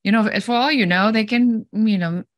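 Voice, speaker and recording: A woman's voice: a little high, moderate pace, no room sound. A woman with a German accent, a business coach answering interview questions in a direct, straightforward way and explaining with everyday examples.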